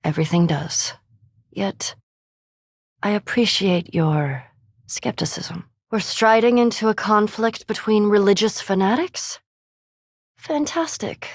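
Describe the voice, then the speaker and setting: An actress voicing a haughty, scholarly elf vampire in a fantasy video game, with formal, precise diction and dry, disdainful sarcasm. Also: female voice, medium pitch, slow pace, pauses often, husky, dry recording. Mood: grave, measured, then cool, cutting.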